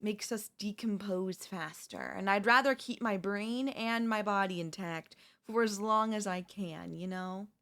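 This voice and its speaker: A woman's voice: medium pitch, measured pace, hardly any pauses, fairly bright tone. A woman voicing a chatty female zombie who cheerfully and conversationally coaxes in a comedic audio roleplay. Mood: resigned, persuasive. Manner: conversational, coaxing, wheedling. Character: chatty, cheerfully persuasive female zombie craving human flesh.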